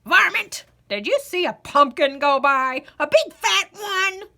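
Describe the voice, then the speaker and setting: A woman voicing a cranky, impatient eight-hundred-year-old man in a storybook, croaking and hollering indignantly. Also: very high voice, animated, slow pace, bright, forceful tone, voice pushed up, exaggerated voice. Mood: demanding.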